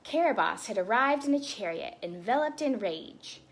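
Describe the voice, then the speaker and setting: A woman reading a story aloud to children as a storytime host, expressive and breaking into chatty asides. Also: on the high side, normal speed, breathy edge. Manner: animated, with chatty asides to young listeners.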